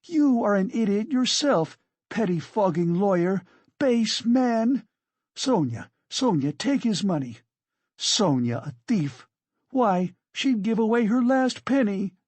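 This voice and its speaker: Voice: medium pitch, very animated, slow pace, husky, no echo, exaggerated voice. A narrator voicing a hysterical, fiercely proud and indignant poor woman in a dramatic audiobook reading. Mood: shrieking fury. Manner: shrieking, frantic, exclamatory.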